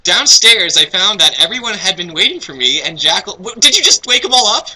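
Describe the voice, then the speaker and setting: A man's voice: high-pitched, very animated, brisk, sharp tone, poor audio. A young man co-hosting a joking fan fiction read-along, theatrical and sweary as he reads and riffs. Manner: theatrical, profane, riffing. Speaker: co-host reading fan fiction aloud.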